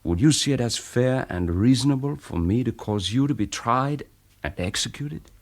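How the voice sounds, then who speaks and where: A half-German former spy played by a male actor reasons his way through his past in a radio drama. His mid-range voice is deliberate and halting, reflective yet argumentative, always persuading and justifying himself. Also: medium pitch, expressive, close to an everyday voice. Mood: reflective, defensive. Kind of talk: naturalistic radio drama acting.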